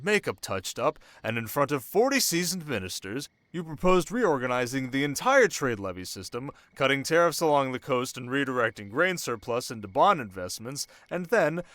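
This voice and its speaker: Male actor as young desert king: commanding, sharp, teasing, mid-pitched, intimate dry sound, ASMR roleplay. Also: dry recording. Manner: measured, teasing, regal, with dramatic pauses. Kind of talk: scripted ASMR roleplay addressed to the listener.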